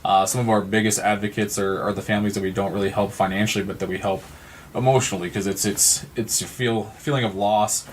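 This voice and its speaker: A man's voice: lowish voice, fairly even intonation, fast talker, slight background noise. An American man giving conversational answers as an interview guest on a podcast. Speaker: podcast guest, nonprofit founder.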